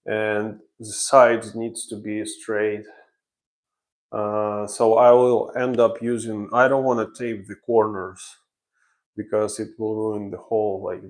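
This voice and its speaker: A man from Ukraine, a renovation contractor, explaining his work step by step on a job-site livestream, in slow, halting speech. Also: lowish voice.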